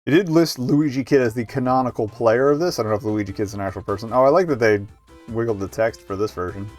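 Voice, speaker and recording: A man's voice: mid-range voice, fast talker, a little mellow, faint music behind. A man giving casual live game commentary as a streamer, rambling and hesitant, with muttered asides.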